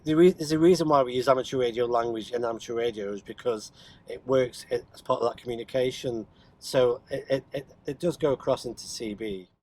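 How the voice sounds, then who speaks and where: A man's voice: medium pitch, a little fast, darkish tone. A man chatting casually and unscripted as he demonstrates radio gear, hesitant and thinking aloud as he goes. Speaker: radio hobbyist vlogger demonstrating CB radio. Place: inside a car. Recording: faint vehicle noise behind the voice.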